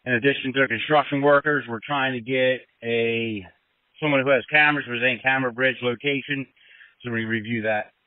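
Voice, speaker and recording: A man's voice: medium pitch, fairly even intonation, brisk, no echo. A man working as a fire battalion chief, giving status reports over emergency radio. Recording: narrow, tinny two-way radio.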